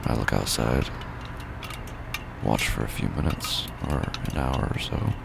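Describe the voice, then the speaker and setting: An actor playing a broken, haunted man who narrates horror audio drama slowly and grimly, his gravelly voice often sinking into a whisper. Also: very noisy, full character voice. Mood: bleak, resigned. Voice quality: very deep gravelly fry, often breaking into whisper. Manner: slow, grim, deliberate delivery.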